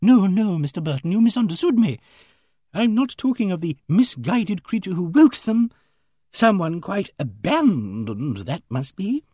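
A man plays a genteel old maiden lady from a storybook, pitched high and swooping in her agitation. She is pious and easily shocked, and she speaks in hesitant, fluttering murmurs, recorded dry and close. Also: big pitch swings, measured pace, warm tone, slightly rough, no room sound, full character voice. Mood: pious earnestness. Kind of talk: audiobook character dialogue.

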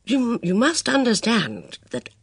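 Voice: mid-range voice, huge pitch swings, slightly rough, no echo, voice pushed up, exaggerated voice. A reader voicing a hesitant, kindly older military man who stammers and halts between words. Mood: flustered. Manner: stammering, halting, full of fillers.

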